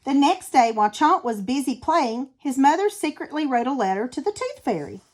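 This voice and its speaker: A woman reading a children's storybook aloud as an expressive narrator. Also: high-pitched, fairly quick. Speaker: storybook reader.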